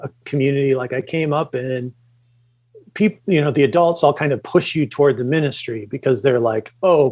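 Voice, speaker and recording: A middle-aged man from the Chicago suburbs, a podcast guest, talking casually and haltingly with many fillers and restarts. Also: medium pitch, a little mellow. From Chicago suburbs, Illinois. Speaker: podcast guest, comics creator. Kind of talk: casual interview talk.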